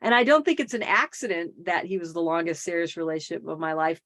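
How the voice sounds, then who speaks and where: A woman's voice: lowish voice, fast talker, fairly bright tone. A middle-aged woman from the Boston area, talking as a podcast guest and telling stories in a chatty way, with self-deprecating humor and lots of fillers. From Boston area, Massachusetts. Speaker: podcast guest, wildlife advocate. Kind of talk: casual conversational interview.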